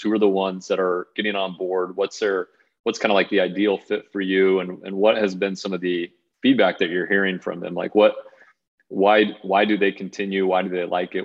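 A man's voice: low-pitched, dull audio. A man hosting a podcast interview, recapping his guest's story and stacking several questions at once. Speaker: podcast host.

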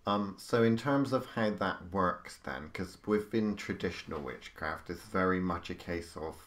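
A man hosts a podcast interview in a very deep voice. He builds his questions slowly and at length, with hesitations and restarts along the way. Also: fairly bright tone. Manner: rambling, long-winded questions with hesitations. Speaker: podcast host. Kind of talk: casual interview chat.

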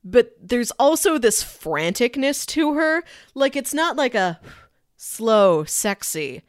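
A woman's voice: on the high side, sing-song, slow, dry recording. A woman talks as a podcast co-host in a casual film discussion. She is enthusiastic and analytical, speaking conversationally. The sound is dry, with little room in it.

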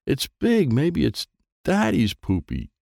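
Man imitating a young storybook girl, excitable, squealing, high-pitched, swooping intonation, dry recording. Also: takes it slowly, creaky, no echo, heavily acted. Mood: disgusted curiosity. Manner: squealing, exclamatory. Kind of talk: children's storybook character dialogue.

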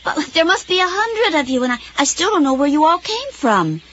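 A flustered suburban woman in a radio drama, scolding yet soft-hearted. Her voice is high and swings around a lot. The sound is slightly dull, with faint music behind. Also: sing-song, fairly quick, slight background noise, heavily acted. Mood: indignant, puzzled. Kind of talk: naturalistic audio drama acting.

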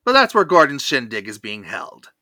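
A podcast host recaps a cartoon with theatrical flair. The voice is bright and very animated, rising and falling freely. It is recorded dry and close. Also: mid-range voice, moderate pace, dry recording. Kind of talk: lively cartoon episode recap.

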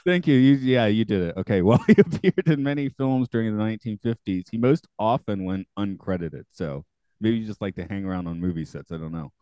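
A man hosting a podcast in casual chat, with a morning gravel in his voice, chatty and quick to laugh at himself. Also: fairly low pitch, mellow, no echo. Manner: chatty, self-deprecating, laughs often. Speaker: podcast host.

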